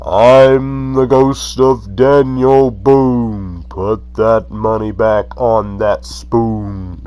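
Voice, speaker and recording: Booming, very slow menacing ghost. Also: male voice, fairly even intonation, warm tone, smooth, lo-fi sound. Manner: slow, drawn-out rhyming chant.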